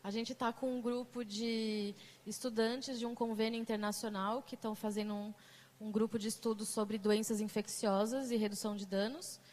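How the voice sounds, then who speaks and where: A woman's voice: fairly high pitch, level tone, moderate pace, darkish tone. A woman with a Brazilian Portuguese accent leading a group conversation, chatty, with many fillers and restarts. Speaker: mediator. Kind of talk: casual talk to a group.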